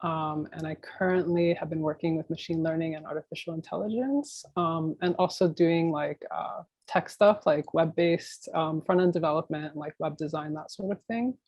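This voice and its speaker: American woman being interviewed, kinda low voice, dry sound, rambles and thinks out loud. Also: normal speed, hardly any pauses, slightly rough, dry recording. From Miami, United States. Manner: informal, rambling, thinks aloud. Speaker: interview guest, new media artist. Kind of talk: casual interview conversation.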